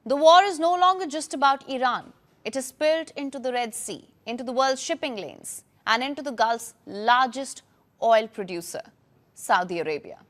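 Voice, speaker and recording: Woman, high pitch, documentary voice-over, dramatic measured delivery. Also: animated, fairly bright tone. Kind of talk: scripted documentary narration.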